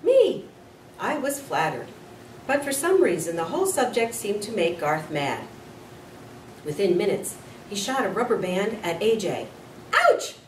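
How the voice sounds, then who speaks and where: A woman reads a children's story aloud with a lively, varied pitch. She is expressive and a little informal. The recording is roomy, slightly off the mic. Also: moderate pace. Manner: expressive, with small slips and corrections. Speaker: school nurse reading to children. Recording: roomy, distant mic with faint noise.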